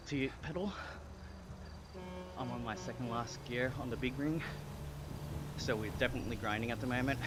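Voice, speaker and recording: An Australian man in his thirties, his accent carrying a little American twang, talks easily to a live stream as he pedals on an indoor trainer. He is somewhat out of breath, and a fan hums clearly in the room. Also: medium pitch, background noise. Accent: Australian with some American twang. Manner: chatty, talking between pedal efforts. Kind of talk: casual live-stream chat while cycling on a trainer.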